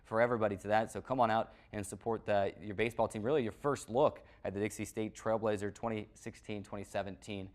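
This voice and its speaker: A man's voice: lowish voice, little pitch movement, fast talker, hardly any pauses. An American man presenting a college sports recap show as its host, in the manner of a play-by-play announcer.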